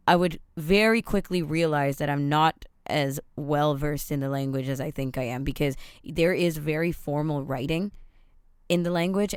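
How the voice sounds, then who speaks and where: A woman hosting a podcast, chatting in a casual, upbeat way with quick asides; her first language is Tamil. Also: low-pitched, a little mellow, clear, resonant tone, dry recording, clean studio sound. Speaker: podcast host.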